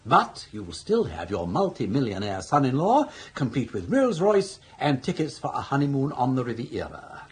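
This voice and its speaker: A breezy, elderly upper-class English gentleman in a radio comedy. His voice is slightly husky and swoops freely in pitch. He talks with jaunty, teasing wit, as if forever amused by his own advice. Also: wildly swooping pitch, moderate pace, few pauses, exaggerated voice. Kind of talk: BBC radio comedy drama acting.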